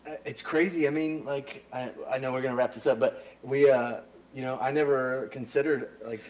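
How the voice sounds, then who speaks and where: A man chatting as a guest, enthusiastic and relaxed, with slangy speech. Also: medium pitch, normal speed, lo-fi sound. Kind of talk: casual chat.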